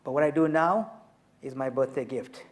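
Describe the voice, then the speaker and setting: A man in his fifties, from Tanzania, telling his story as an author and speaker on a festival panel, measured and emphatic. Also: medium pitch. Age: 50s.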